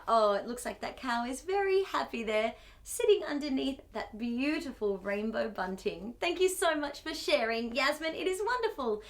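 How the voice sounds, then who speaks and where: A woman's voice: high voice, fairly bright tone. A woman hosting a children's storytime, gushing and exclamatory as she speaks directly to young children.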